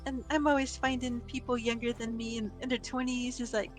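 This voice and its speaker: A woman's voice: on the high side, level tone, brisk. A woman in her early thirties chatting casually and agreeably as a co-player on a gaming stream. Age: early 30s. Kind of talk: casual chat. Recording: music playing behind the voice.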